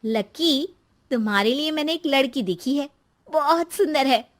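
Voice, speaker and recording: A woman's voice: sits high, expressive, unhurried, pitched above the performer's own voice. A woman voicing an affectionate, teasing young sister-in-law and devoted wife in a family story. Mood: sweet.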